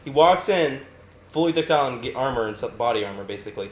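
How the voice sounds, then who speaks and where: A man's voice: medium pitch, fast. A young man co-hosting a casual podcast with friends, joking and laughing as he retells a story. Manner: jokey, laughs often, retells stories with animated asides. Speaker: podcast co-host. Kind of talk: casual chat among friends. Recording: echoey room with faint background hiss.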